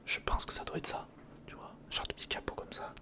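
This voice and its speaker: Whispering man doing casual ASMR. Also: brisk. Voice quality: breathy whisper, mostly unvoiced. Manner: hesitant, muttering to himself while working, chatty asides to the viewer. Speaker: ASMR video host. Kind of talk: whispered ASMR build-along, casual and improvised.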